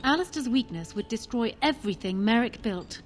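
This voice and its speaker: Woman acting ambitious queen, higher-pitched, formal diction, measured and calculating, video game drama, faint music behind. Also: animated, close to an everyday voice. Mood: cool, critical. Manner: formal, poised, measured persuasion. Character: proud, ambitious human queen, calm and calculating. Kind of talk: fantasy game dialogue acting.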